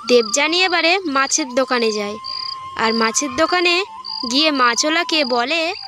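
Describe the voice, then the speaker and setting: A woman's voice: sits high, expressive, moderate pace, background noise. A woman narrating a scripted cartoon story.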